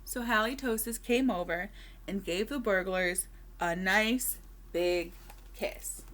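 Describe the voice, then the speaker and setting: A woman reading a children's storybook aloud expressively, with deliberate dramatic pauses and emphasis. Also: mid-range voice, a bit bright.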